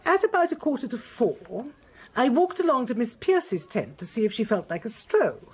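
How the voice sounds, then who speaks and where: A haughty lady in a radio drama. She is brisk, fussy and precise, with a husky voice and sweeping intonation. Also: fairly high pitch, big pitch swings, full character voice, rough recording. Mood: brisk, disapproving. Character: imperious, fussy titled English lady witness.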